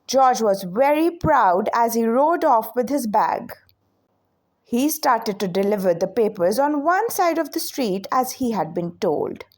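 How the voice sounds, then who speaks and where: A female storyteller reads to children with a slightly raised pitch and very lively intonation. Her delivery is expressive and unhurried, giving each phrase room to land. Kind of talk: children's read-aloud narration.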